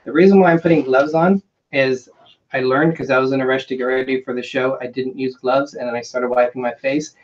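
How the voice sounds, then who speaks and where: A man's voice: mid-range voice, fairly even intonation, brisk. Man chatting casually on a dull-sounding stream. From England, by home country; says no English accent. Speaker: co-host of a travel vlog channel. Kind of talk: casual livestream chat. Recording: dull, slightly lo-fi livestream sound.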